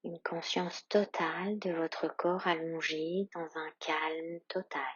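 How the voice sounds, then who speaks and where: A woman yoga instructor leading a guided meditation in a calm, soothing, soft and breathy voice with long, measured pauses. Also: low-pitched, no room sound, highs cut off.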